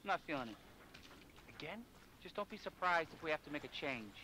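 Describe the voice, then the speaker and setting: Sarcastic jaded TV host. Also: male voice, fairly high pitch, very animated, a little fast, pushed and bright, slight background noise, low-quality recording. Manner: sarcastic, swaggering. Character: cocky jaded male TV show host. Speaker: game character. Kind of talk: video game cutscene dialogue.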